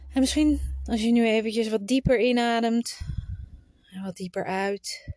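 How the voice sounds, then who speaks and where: A woman leading a guided meditation in a soft, soothing, instructive manner, at times trailing into a breathy whisper. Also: a little high, no echo. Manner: informal, instructive, with unhurried pauses.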